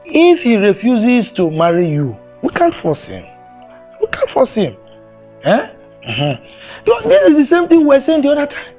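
A Nigerian family elder with a high male voice. He admonishes and repeats himself, with music underneath the film drama. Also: highs cut off, exaggerated voice.

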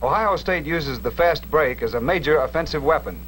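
A man's voice: fairly high pitch, lively intonation, normal speed, a bit bright. A man reading scripted narration to introduce a 1960s instructional sports film. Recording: noisy 1960s film soundtrack.

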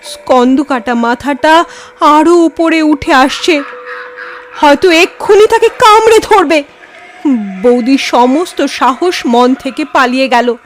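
A woman narrating a ghost story in an expressive, suspenseful, dramatic manner. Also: sits high, a little hiss or hum.

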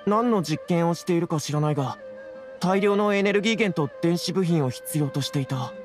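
A man's voice: on the high side, brisk, music in the background, natural voice. A man playing a nervous, flustered scholar in an anime game, with a pleading manner. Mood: anxious, defensive. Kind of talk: Japanese anime game voice acting.

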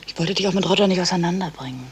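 A woman's voice: fairly low pitch, very fast, a little hiss or hum, close to an everyday voice. An actress playing an anxious, affectionate woman confiding in her partner in a 1970s radio crime play. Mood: anxious, apologetic. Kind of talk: 1970s German radio crime drama acting.

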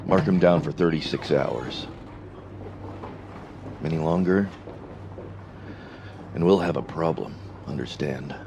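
A man playing a stern, suspicious spaceport security boss in a science fiction audio drama, slow and menacing in manner. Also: extremely low pitch, gravelly, noisy background, full character voice. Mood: stern, probing.

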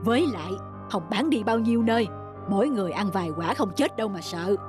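A woman's voice: medium pitch, lively intonation, fast, music in the background, lightly acted. A woman playing a glib male fruit trader in a children's cartoon, persuasive and offhand. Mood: breezy persuasion.

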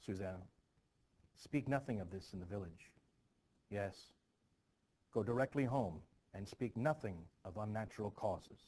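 A man's voice: fairly low pitch, unhurried, long pauses, warm tone, slightly rough. Man acting anxious, stern Puritan preacher. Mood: stern, defensive. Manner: urgent, emphatic play reading.

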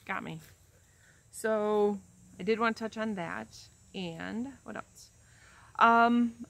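Woman in her fifties, lowish voice, chatty and rambling, casual backyard vlog. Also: gravelly, fairly bright tone, slight background noise. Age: 50s. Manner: chatty, rambling, frequent ums and restarts. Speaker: vlogger. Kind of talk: casual chat to camera. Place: outdoors in a leafy backyard, seated in a chair. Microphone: camera in front, head and shoulders framing.

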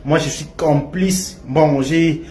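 A young man with a Congolese French accent, giving a halting, repetitive video statement to clear his name. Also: on the high side, a little mellow. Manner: halting and repetitive, many restarts and fillers.

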